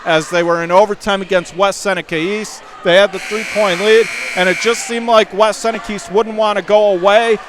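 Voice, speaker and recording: A man calling live basketball play-by-play for radio in clipped, urgent phrases that rise into excited calls. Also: high-pitched, moderate pace, few pauses. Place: indoor high school basketball gym. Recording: game crowd and gym noise behind.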